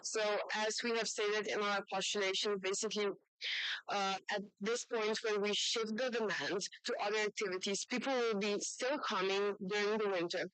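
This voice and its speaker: Woman debating at a youth parliament, super clear voice, pretty even intonation, dry sound. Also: mid-range voice, no room sound, poor audio.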